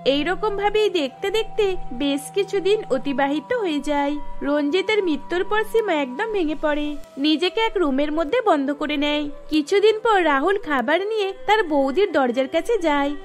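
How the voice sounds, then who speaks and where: Brief: woman narrator, very high voice, even scripted storybook reading. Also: moderate pace, soft background music.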